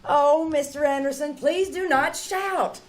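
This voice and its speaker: A kindly but brisk woman of old-time radio drama speaks in a fairly high voice, as acted by a woman. Her delivery is earnest and theatrical, in the 1940s manner. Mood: excited, brisk. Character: Charlotte, brisk kindly woman, scolding then hopeful. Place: live community theater stage performance.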